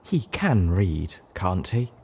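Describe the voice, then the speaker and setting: A man's voice: low-pitched, big pitch swings, takes it slowly, vocal fry, dark tone, slightly rough, heavily acted. A man voicing a refined, insistent female pony singer in a story, prim, wry and a little condescending.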